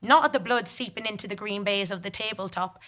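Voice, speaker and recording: A woman performs scripted first-person narration in a formal, deliberate, theatrical storytelling manner. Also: mid-range voice, pushed and bright. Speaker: monologue performer. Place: theatre stage.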